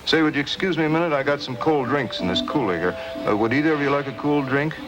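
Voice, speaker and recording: An actor playing an estranged husband under questioning, who answers in a casual, evasive way in an old radio drama. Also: male voice, on the high side, expressive, quick pace, close to an everyday voice, poor audio. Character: evasive, offhand estranged husband who runs a beach stand. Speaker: suspect husband. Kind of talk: 1950s radio drama acting. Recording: old radio broadcast with music.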